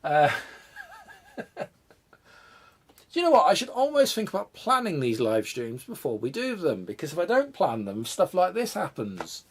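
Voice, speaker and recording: A man's voice: fairly high pitch, huge pitch swings, moderate pace, long pauses, breathy edge. A man, congested from a cold, chatting casually and rambling as he tinkers with hardware on a live stream, poking fun at his own disorganisation. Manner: rambling, self-deprecating, thinks aloud while tinkering. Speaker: retro computer channel host.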